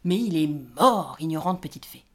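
A woman voicing an excitable, jolly male forest gnome who loudly hurries the others along. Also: normal speed. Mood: impatient, excited. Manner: shrill, loud, urgent, hurrying others.

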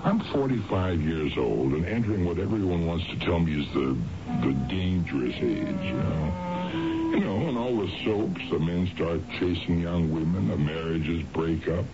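Very deep-voiced, irritable middle-aged husband. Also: male voice, animated, moderate pace, music in the background. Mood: brooding, confiding. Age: 40s. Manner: hesitant, muttering, self-justifying. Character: irritable, evasive forty-five-year-old suburban husband. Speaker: radio drama actor. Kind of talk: naturalistic 1970s radio drama acting. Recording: dull, lo-fi radio broadcast recording.

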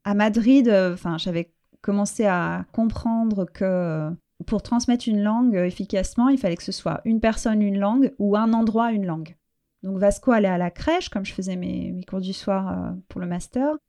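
Soft-toned French woman, reflective. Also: medium pitch. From France. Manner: reflective, halting with fillers and restarts. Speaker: interview guest. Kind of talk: personal conversational interview.

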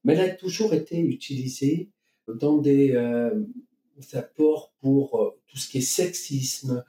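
A man from France gives conversational, explanatory answers as an interview guest, searching for his words. His voice is very dark and relaxed at an average pitch. It sounds echoey and a bit away from the microphone. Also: unhurried. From France, Marseille. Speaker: interview guest, company co-founder. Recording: echoey room, distant from mic.

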